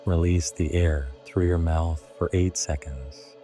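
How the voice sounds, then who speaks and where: A man narrating a scripted guided sleep meditation in a hushed, deliberate way, in short phrases. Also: deep voice, fairly even intonation, faint music behind.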